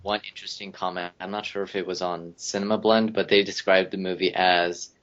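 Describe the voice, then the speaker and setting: A man's voice: deep voice, little pitch movement, fairly quick, a bit bright. A man making casual remarks about films as a member of a podcast. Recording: dull lo-fi podcast audio.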